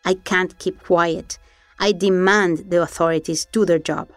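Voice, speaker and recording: A woman hosting an investigative documentary, giving measured, serious scripted narration. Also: lowish voice, fairly even intonation, no echo, soft background music. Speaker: documentary podcast host and narrator.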